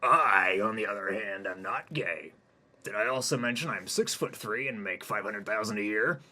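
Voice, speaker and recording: A man's voice: on the low side, normal speed, bright, forceful tone. A man voicing a cocky, crude male office alpha who boasts smugly. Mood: boastful.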